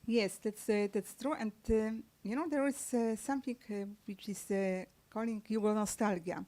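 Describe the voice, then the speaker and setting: A woman author whose first language is Serbian, answering questions at a book launch in halting speech with many fillers and restarts. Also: medium pitch, warm tone. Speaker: author guest. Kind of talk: conversational book-launch interview. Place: press club event room.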